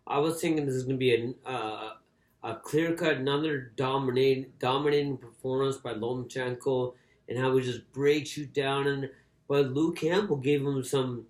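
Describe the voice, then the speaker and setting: A man co-hosting a casual sports podcast, speaking haltingly and often repeating his words as he goes. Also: mid-range voice, fairly even intonation. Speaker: boxing podcast co-host. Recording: echoey, roomy sound.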